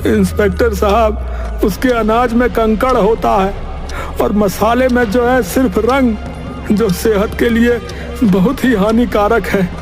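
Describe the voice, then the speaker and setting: A man's voice: very high voice, fairly even intonation, measured pace, faint music behind. A man playing a worried, earnest village parent making a complaint in a cartoon story. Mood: worried, pleading.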